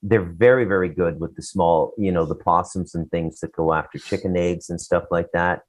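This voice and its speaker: A man's voice: low voice, fairly even intonation, normal speed, low-quality recording. A man co-hosting a casual talk show, giving opinions in a rambling, blunt, profane way. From Canada.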